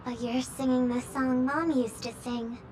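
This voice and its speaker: A woman's voice: sits high, flat delivery, measured pace, darkish tone, slight background noise. A woman playing a young, earnest, pleading girl in a video game. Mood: tender. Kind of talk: dramatic game voice acting. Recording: echoey hall, far from mic.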